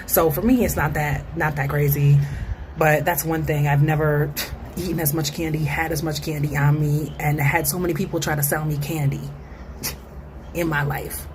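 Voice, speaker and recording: A woman's voice: deep voice, steady tone, moderate pace, background noise. An American woman talking casually and informally, as a vlogger chatting to viewers in slangy, conversational speech. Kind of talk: casual chatty vlog monologue.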